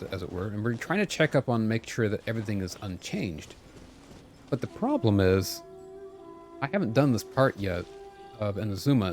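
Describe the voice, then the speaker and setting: A man's voice: fairly low pitch, a little mellow. Chatty man live-streaming a game over music. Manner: chatty, talks to himself and viewers.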